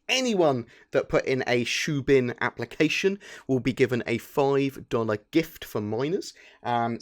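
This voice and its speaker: A man presenting a gaming news roundup in an informal, chatty way, partly scripted. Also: medium pitch. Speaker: host of a gaming news channel.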